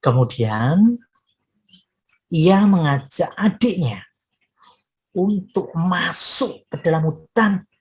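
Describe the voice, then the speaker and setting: A woman's voice: deep voice, a little mellow, rough recording. A woman storyteller narrating expressively for children, with dramatic phrasing and direct address to her young listeners.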